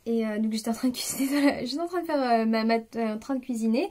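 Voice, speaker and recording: A woman's voice: fairly high pitch, fast talker, a little mellow. Hesitant young woman musing aloud. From France. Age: 20s. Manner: hesitant, many fillers and restarts, confiding tone. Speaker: vlogger. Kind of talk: spontaneous personal reflection.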